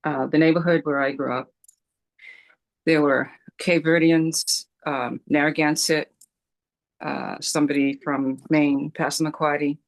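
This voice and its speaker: A woman's voice: deep voice, flat delivery, unhurried, vocal fry, poor audio. A woman from Rhode Island speaking in a community discussion on a video call, hesitant, with many ums and restarts.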